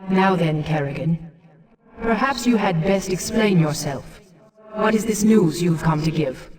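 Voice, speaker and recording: A woman's voice: fairly low pitch, lively intonation, a little slow, a little mellow, distant mic. A woman playing a stern, regal alien matriarch in a video game, speaking formally with echo on her voice. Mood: stern authority. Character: stately, stern alien matriarch, wise elder ruler. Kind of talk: scripted video game voice acting.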